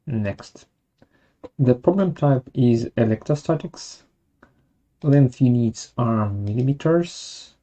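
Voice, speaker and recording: A software webinar presenter narrating a tutorial step by step in a measured way, halting between steps. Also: medium pitch, lively intonation.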